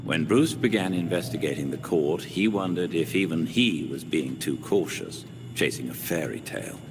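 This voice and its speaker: An actor playing a refined, measured older butler and gentle mentor in a video game cutscene. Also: male voice, rumbling low, expressive, noisy background. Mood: grave, reflective. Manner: formal, measured.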